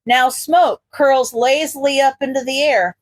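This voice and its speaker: A woman narrator reading a story aloud in an expressive, scripted audiobook style. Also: high voice, unhurried. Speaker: podfic narrator.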